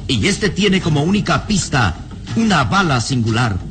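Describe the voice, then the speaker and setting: A man's voice: a little high, very animated, moderate pace, fairly bright tone. A man narrating an old Latin American radio serial in a solemn, theatrical, ominous style over dramatic music.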